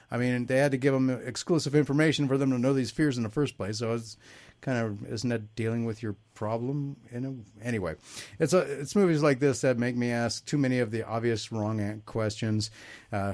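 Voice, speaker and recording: A man's voice: medium pitch, a little mellow. A man hosting a panel podcast, calling on guests and musing over trailers in loose asides. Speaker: podcast host. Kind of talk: casual chat moderating a panel.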